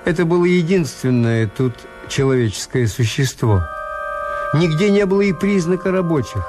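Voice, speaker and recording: An actor playing a detached hotel owner who narrates his own story in a measured, understated radio drama style. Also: on the high side, lively intonation, music in the background, natural voice. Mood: wry, detached.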